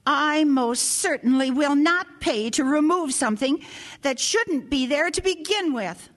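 A woman's voice: high voice, animated, a little slow, fairly bright tone. A woman playing a haughty, indignant old grandmother in a radio drama, imperious and easily affronted. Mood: outraged, demanding. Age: older.

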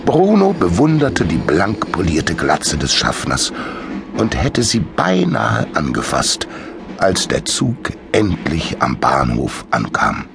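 A man with a husky, gravelly voice narrating a children's story in an expressive, dramatic way. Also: extremely low pitch, slow pace, background noise. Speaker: audiobook narrator.

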